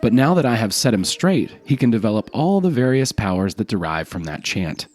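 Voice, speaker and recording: A man's voice: on the low side, sing-song, a little fast, darkish tone. A man voicing an earnest, self-satisfied dervish in a folk tale, instructive and smug. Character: dervish in meditation, earnest, self-satisfied, easily annoyed corrector.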